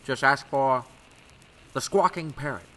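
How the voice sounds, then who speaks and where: A bright, sharp-edged male wise guy wheedles in 1920s gangster patter. He is a cocky, chummy smuggler in a radio play, with faint noise behind. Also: medium pitch, unhurried, rough recording. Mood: sly, chummy. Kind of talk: old-time radio gangster acting.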